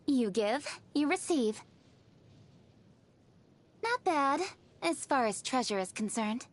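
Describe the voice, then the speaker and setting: A confident young female anime game adventurer. Her voice is high and swings widely in pitch, with unhurried pacing. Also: animated, exaggerated voice. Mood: confident, satisfied. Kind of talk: short video game voice lines.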